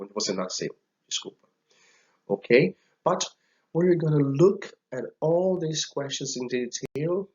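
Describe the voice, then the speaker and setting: A man teaching a recorded slide lesson, speaking deliberately and repeating each phrase for learners to follow. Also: fairly low pitch, muffled recording. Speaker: language teacher.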